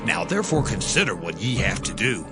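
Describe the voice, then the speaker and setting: A man's voice: sits low, very animated, a little fast, over music. A man playing an urgent scout stirring up his brethren, with rhetorical, building delivery in a dramatized scripture reading. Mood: urgent, inciting.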